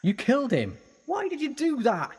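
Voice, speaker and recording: A man playing an eccentric, quick and cheeky alien time traveller in a fan sci-fi audio drama, with a chatty, flippant manner. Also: very high-pitched, huge pitch swings, heavy background noise, exaggerated voice. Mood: shocked.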